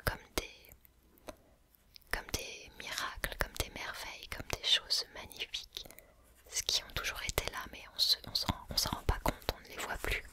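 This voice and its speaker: A man whispering softly in a slow, reflective ASMR video, pausing and restarting as he thinks aloud. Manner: musing, reflective.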